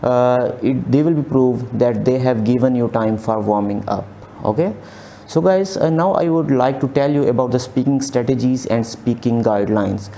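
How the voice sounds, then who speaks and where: A man's voice: medium pitch, normal speed, creaky, warm tone, slight background noise. A man in his thirties teaching exam speaking strategies over slides, repeating key points with frequent fillers. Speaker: OET exam tutor. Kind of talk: slide lecture. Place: small indoor room, webcam inset.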